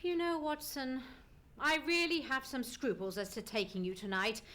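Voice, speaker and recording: Performer as radio-play gentleman sleuth: high-pitched, very animated, precise and authoritative, formal diction. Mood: musing, confiding. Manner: formal, measured, emphatic diction. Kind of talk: old-time radio play acting. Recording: live stage radio play recording.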